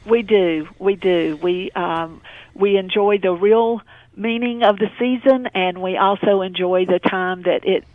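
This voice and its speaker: A woman giving information as a guest in a radio interview, pausing often mid-sentence in a hesitant way. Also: medium pitch, no room sound. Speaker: radio interview guest, local development organisation president. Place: remote call into a radio show. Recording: phone-like narrow band, dry.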